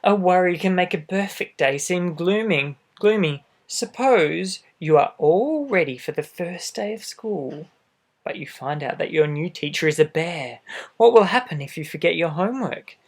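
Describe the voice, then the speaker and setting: A man reads a picture book aloud to young children in a gentle, expressive way, adding little asides straight to the listener. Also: high voice, moderate pace. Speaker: storybook reader for children.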